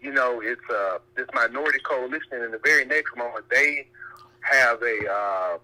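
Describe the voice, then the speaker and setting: A man calling in to share opinions conversationally, halting and circling back to rephrase his points, over a telephone-like line. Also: medium pitch, bright, forceful tone. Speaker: caller.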